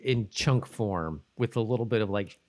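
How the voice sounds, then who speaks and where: A man co-hosting a podcast in casual discussion, easygoing and halting as he works out his thoughts. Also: fairly low pitch. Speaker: podcast co-host.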